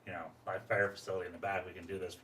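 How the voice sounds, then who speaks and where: A man's voice: sits low, level tone, very fast, fairly bright tone. An American man hosting a casual interview, rambling through questions and acting out imagined quotes. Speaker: podcast host, interviewer. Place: podcast studio, indoors. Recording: roomy echo, distant mic, faint noise.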